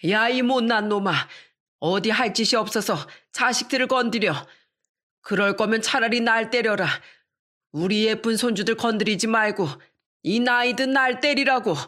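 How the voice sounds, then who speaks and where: A fierce old grandmother, put on by a woman narrator, scolding in a shrill, strained voice pitched well above her speaking voice. She sounds protective and furious, with loud, sharp outbursts. This is the style of a storybook reading. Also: normal speed, close to an everyday voice.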